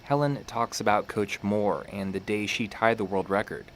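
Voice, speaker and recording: A man's voice: on the low side, normal speed, a bit bright, a little hiss or hum. A man hosting a history podcast, reading scripted narration with dramatic, playful storytelling. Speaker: podcast host.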